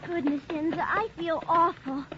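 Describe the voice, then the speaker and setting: Whiny worried young woman in 1940s radio show, high voice, muffled old recording. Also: moderate pace, background noise. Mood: discouraged. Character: fretful, plaintive young woman, reluctant companion.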